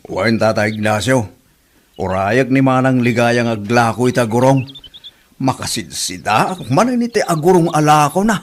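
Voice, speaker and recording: An actor with an Ilocano accent playing an excitable, lovestruck married tricycle driver in a radio comedy drama, talking in an exaggerated, smitten way. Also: male voice, mid-range voice, moderate pace, lightly acted. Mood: eager.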